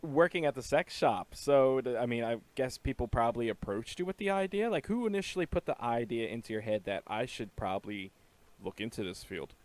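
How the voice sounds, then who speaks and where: A man's voice: mid-range voice, big pitch swings, a little fast. A man hosts a laid-back radio interview, throwing in loose, crude jokes as he asks questions. His voice sits in a middling register. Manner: casual, crude joking banter. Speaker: host.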